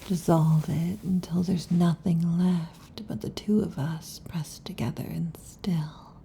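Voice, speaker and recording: A woman voicing a restless, affectionate female lamia in an ASMR roleplay, whispering softly. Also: lowish voice, fairly even intonation, takes it slowly, creaky, slight background noise. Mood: whispery, intimate. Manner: soft intimate whispering. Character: restless affectionate female lamia, snake-tailed monster girl.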